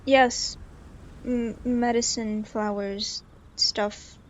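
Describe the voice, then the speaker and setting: A woman's voice: on the high side, level tone, extremely slow, highs cut off, a little hiss or hum. A woman streamer giving casual, playfully exasperated and dramatic reaction commentary over gameplay. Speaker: live game streamer. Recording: game music audible behind.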